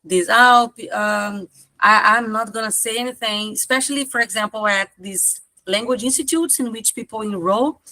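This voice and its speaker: A woman's voice: a little high, normal speed, sharp tone, no echo, poor audio. A middle-aged woman moderating a seminar discussion informally, repeating phrases for emphasis. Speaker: seminar moderator and language teacher.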